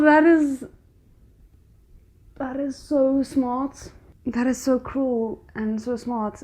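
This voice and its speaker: A woman's voice: fairly high pitch, a little mellow. A woman giving casual, reflective reaction commentary with an analytical way of explaining things. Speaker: reaction video host.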